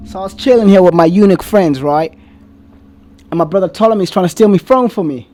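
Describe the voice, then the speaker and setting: A man's voice: sits high, a little fast, mellow, slight background noise, pitched above the performer's own voice, natural voice. A man putting on a mocking, cocky teenage queen with a mock British accent and slang. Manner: mocking parody.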